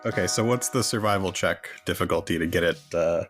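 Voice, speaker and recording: Low male game player over prominent music. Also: a little fast. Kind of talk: casual game-table chat. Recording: prominent music playing behind the voice.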